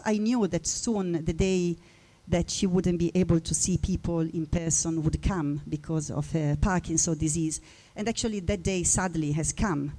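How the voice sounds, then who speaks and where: A woman in her forties from Italy with an Italian accent, speaking at a book launch in a chatty, personal way with frequent restarts. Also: on the low side. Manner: warm, chatty, with frequent restarts and little laughs. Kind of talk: personal speech to an audience.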